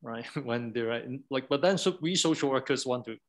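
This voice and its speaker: Male academic, medium pitch, halting and discursive, informal research discussion, peppered with "like" and "right?".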